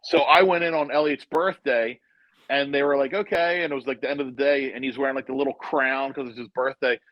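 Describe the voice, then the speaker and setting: A man's voice: mid-range voice, brisk, no echo, dull audio. A man in his fifties chatting casually as a guest and telling rambling, joking stories. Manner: joking, rambling storyteller, speeds up when excited. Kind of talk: casual chat, storytelling.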